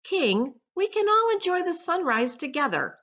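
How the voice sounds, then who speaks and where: A woman's voice: extremely high pitch, very animated, normal speed, a bit bright, dull audio, voice pushed up, exaggerated voice. A woman voicing a brave, kind, protective male turtle in a children's storybook, earnest and reassuring. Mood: firm, brave.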